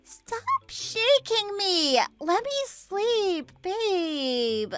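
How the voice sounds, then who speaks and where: A woman plays a sleepy mistress in an audio drama, whiny and clingy. The voice is extremely high and drags along slowly, with faint music behind it. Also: animated, sharp tone, no room sound, exaggerated voice. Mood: drowsy, whiny. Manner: drowsy whining. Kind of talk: dramatized audio story acting.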